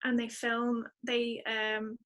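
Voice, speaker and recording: A woman's voice: a little high, monotone, takes it slowly, a bit bright. A woman chatting casually as a guest, emphatic and thinking aloud as she goes. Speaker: podcast guest, singer-songwriter. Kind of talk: casual chat.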